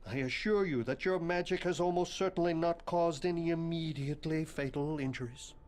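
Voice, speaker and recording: An actor playing a morbid, bookish, eccentric occult professor in a video game, speaking formally and pedantically with a deadpan air. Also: male voice, a little high, a little fast, lightly acted. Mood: solemn, dry. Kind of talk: video game cutscene acting.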